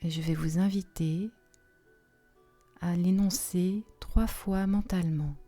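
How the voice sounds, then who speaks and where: A woman guides a deep relaxation session in a soft, soothing manner. Her voice is fairly low and very dark and mellow. She speaks very slowly, leaving long pauses between phrases. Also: steady tone. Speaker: yoga nidra relaxation guide. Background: soft music.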